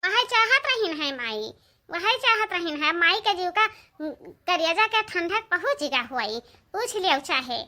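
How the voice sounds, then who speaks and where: Woman acting a very high, piercing village woman. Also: very animated, unhurried, pushed and bright, exaggerated voice. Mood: aggrieved. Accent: eastern Uttar Pradesh. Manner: plaintive, emphatic, repeats phrases. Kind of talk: comedy cartoon village dialogue.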